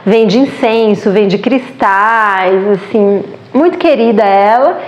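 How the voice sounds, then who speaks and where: A woman's voice: mid-range voice, slow, dull audio. Brazilian lady vlogging her trip, lots of laughs and "né", roomy echo. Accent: Brazilian Portuguese. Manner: chatty, animated, laughs often, says "né". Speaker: travel vlogger. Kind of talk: casual travel storytelling.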